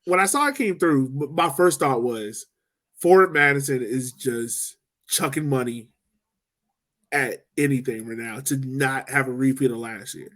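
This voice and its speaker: A man hosting a casual sports podcast, animated and laughing often, with quick asides. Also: mid-range voice. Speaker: podcast host.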